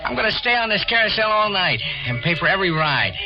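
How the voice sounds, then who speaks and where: A man's voice: sits high, sing-song, fast. An actor playing a mocking young man in a 1940s radio drama. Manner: mocking, teasing. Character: taunting young man, sly and menacing. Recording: muffled 1940s radio broadcast with music.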